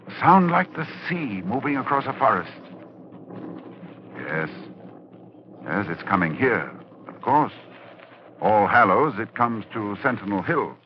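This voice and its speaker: An actor playing a grave, urgent scholar of the occult, halting and portentous, in theatrical 1940s radio suspense style. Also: male voice, lowish voice. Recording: muffled, noisy old radio recording.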